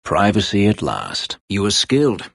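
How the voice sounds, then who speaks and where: An actor playing a proud, bitter former slave warrior in a video game, speaking tersely and formally in a husky, gravelly voice. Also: male voice, fairly low pitch, wildly swooping pitch, unhurried, a bit bright, no room sound, studio quality, heavily acted. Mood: guarded, brooding. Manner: terse, formal, brooding delivery. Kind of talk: video game character dialogue.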